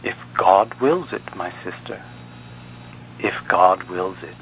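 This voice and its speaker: An actor playing a measured, quietly evasive priest in a video game, speaking in a smooth, guarded way. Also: male voice, low-pitched, slight background noise, heavily acted, low-quality recording. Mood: placating. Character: measured, soft-spoken male cult priest, quietly evasive.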